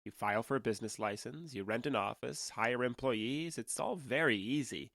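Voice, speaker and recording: A man's voice: mid-range voice, normal speed, gravelly, a bit bright, no echo. Candid, awkward young alien guy, dry sound. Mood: casual, breezy. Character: awkward, candid young male alien trader passing as human. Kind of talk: audio drama dialogue.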